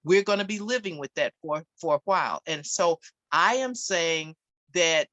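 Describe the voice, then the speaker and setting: A woman panelist in a debate, speaking deliberately and emphatically, repeating her phrases. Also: lowish voice, lively intonation, a bit bright, no room sound, highs cut off.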